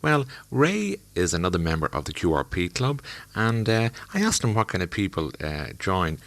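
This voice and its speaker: A radio presenter, a man, linking the segments in a relaxed way with a few fillers. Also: deep voice, moderate pace, dry recording. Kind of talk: linking narration.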